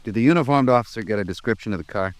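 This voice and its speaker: An actor playing a brisk police detective in a 1950s radio drama, questioning in a direct, businesslike way. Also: male voice, fairly low pitch, no room sound, close to an everyday voice. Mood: inquiring.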